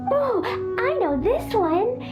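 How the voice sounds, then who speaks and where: A woman's voice: very high-pitched, big pitch swings, takes it slowly, over music, exaggerated voice. An actress voicing a tiny, cheerful, naive little girl in an anime game, with childlike, innocent phrasing. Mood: innocent, pondering. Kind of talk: anime video game voice acting.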